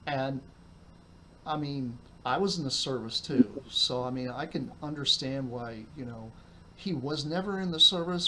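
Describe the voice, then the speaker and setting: A man's voice: mid-range voice, pushed and bright, slight background noise, poor audio. A man chatting casually on a podcast, rambling with lots of fillers. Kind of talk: casual chat.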